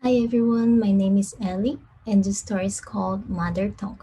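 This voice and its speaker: A woman's voice: mid-range voice, steady tone, brisk, warm tone, a little hiss or hum, rough recording. A young woman from Brazil whose first language is Cantonese, reading aloud a personal essay. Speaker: contributor reading her story.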